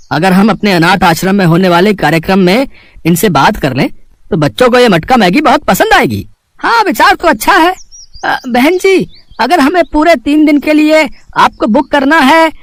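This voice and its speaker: A man's voice: very high-pitched, expressive, normal speed, fairly bright tone, no echo, full character voice. A man playing a friendly, impressed food-stall customer in a moral-story cartoon. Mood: impressed, eager.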